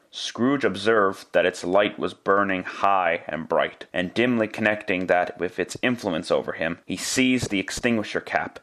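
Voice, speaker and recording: Low-voiced male radio drama narrator. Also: a bit bright. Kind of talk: scripted dramatic storytelling in classic radio style.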